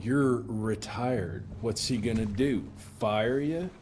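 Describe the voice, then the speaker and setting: A young actor playing a cool, cocky monster hunter in a video game cutscene. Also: male voice, fairly low pitch, slow pace, background noise. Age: young adult.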